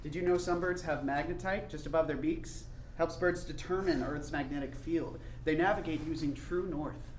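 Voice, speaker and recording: A man's voice: on the high side, normal speed, natural voice. A man in a staged reading playing a dreamy, stubborn college-bound son, wistful and earnest, who drifts off into digressions. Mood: earnest wonder. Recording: echoey room, distant mic, faint noise.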